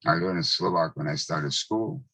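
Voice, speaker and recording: A man in his nineties, a Hungarian native speaker from Czechoslovakia, slowly recalling his childhood with measured pauses. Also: low-pitched, pushed and bright, breathy edge, no echo. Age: 90s. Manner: slow, measured recollection with pauses. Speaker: Holocaust survivor speaker. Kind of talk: testimony talk.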